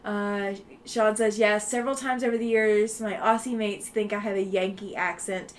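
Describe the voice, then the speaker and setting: A woman's voice: medium pitch, steady tone, normal speed, slight background noise. Chatty woman with a faint Southeastern lilt. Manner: chatty, reading out and answering viewer comments. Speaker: livestream host. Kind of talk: casual livestream chat.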